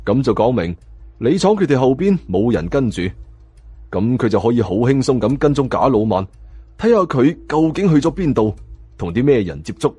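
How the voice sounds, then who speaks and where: A man's voice: mid-range voice, sing-song, moderate pace, warm tone, breathy edge, no room sound, faint music behind. A man with a Hong Kong Cantonese accent narrates a story in colloquial first person, acting out the dialogue as he goes. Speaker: audiobook narrator.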